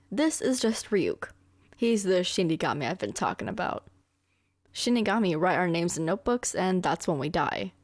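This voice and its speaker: Casting: woman playing smug young anime villainess girlfriend, manipulative, boastful, coaxing, mid-pitched, very dry close sound. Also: a little mellow, no room sound, close to an everyday voice. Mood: casually confiding. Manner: boastful, coaxing, self-satisfied. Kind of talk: anime ASMR girlfriend roleplay.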